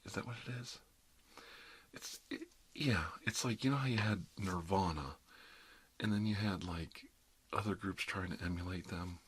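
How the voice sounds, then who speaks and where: A middle-aged man speaks in a low register, playing a chatty dentist in an ASMR roleplay. He rambles in a jokey, conversational way, often saying "you know". Light background noise sits behind his voice. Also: a bit bright. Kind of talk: ASMR roleplay, rambling one-sided casual chat.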